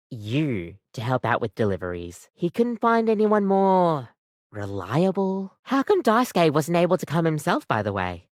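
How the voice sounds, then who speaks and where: An animated video game barista, a friendly coffee machine in human form. The voice is low for a woman and swoops up and down through chatty service patter and slightly awkward asides. The recording is close and dry. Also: dry recording.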